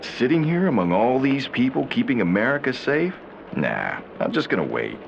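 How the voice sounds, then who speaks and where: An actor playing a calm, playful male handler who talks over the phone in a video game. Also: medium pitch, normal speed, creaky, lo-fi sound, background noise. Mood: teasing. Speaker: game character. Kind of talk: video game cutscene acting.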